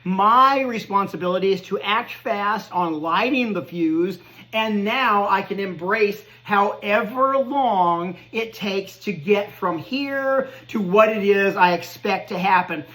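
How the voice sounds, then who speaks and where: A man's voice: high voice, takes it slowly, bright, forceful tone, poor audio. Earnest man in his fifties giving life advice. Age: 50s. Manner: earnest, conversational, laughs at himself. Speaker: podcast host. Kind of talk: motivational monologue.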